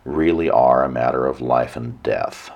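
A hoarse man hosting a weekly radio talk show, giving a measured lecture with dry asides. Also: a bass voice, fairly even intonation, a little mellow, dull audio. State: hoarse, nearly lost voice. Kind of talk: solo radio talk monologue.

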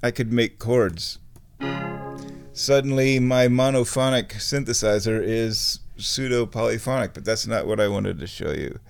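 Slow, rambling man demonstrating music gear. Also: mid-range voice, vocal fry, smooth. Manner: rambling, halting, thinking aloud. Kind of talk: casual, unscripted gear demonstration.

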